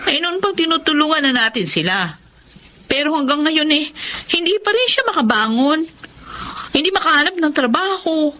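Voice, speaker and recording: A woman's voice: high voice, very animated, moderate pace, bright, forceful tone, heavily acted. A woman playing a caring, affectionate but fretful wife in a Tagalog radio drama. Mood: embarrassed, troubled. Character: caring wife, embarrassed by her needy brother.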